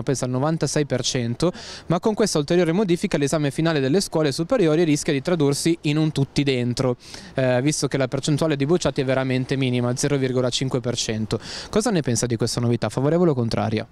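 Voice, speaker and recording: A man's voice: mid-range voice, darkish tone. A young man interviewing passers-by on a city street with a handheld mic, formally reading a news summary and asking follow-up questions. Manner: formal, reads news summary then asks follow-ups. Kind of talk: scripted intro then street questions.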